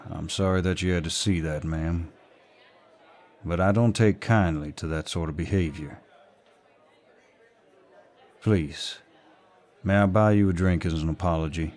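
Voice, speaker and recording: A man acts out a courteous, protective Southern gentleman for an audio roleplay. His voice is very low and he takes his time with a slow Southern drawl. He is polite and old-fashioned yet firmly in command, with a faint bar crowd in the background. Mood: courteous, apologetic. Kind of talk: roleplay audio acting, intimate and scripted.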